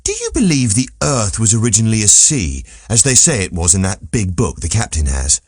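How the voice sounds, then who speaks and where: A man's voice: on the low side, wildly swooping pitch, brisk, a little mellow, breathy edge, no room sound, clean studio sound, exaggerated voice. A man voicing a naive, earnest, wide-eyed young man full of questions in an audiobook. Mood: curious. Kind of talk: audiobook character voice.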